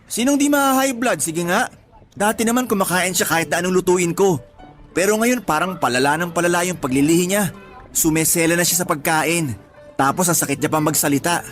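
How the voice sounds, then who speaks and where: A fed-up young husband in a radio drama. His voice sits a bit high as he gripes in emphatic street-level speech about his pregnant partner. Faint music plays underneath. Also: a little fast, a bit bright. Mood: aggrieved venting. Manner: complaining, colloquial, emphatic. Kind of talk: Tagalog radio drama acting.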